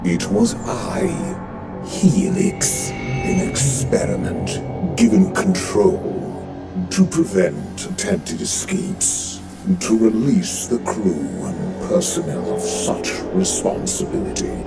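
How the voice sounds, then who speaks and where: A man voicing a sinister male AI that runs a prison ship, in a deep, gravelly, menacing tone, with music behind. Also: huge pitch swings, slow pace, heavily acted. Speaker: voice pack narrator.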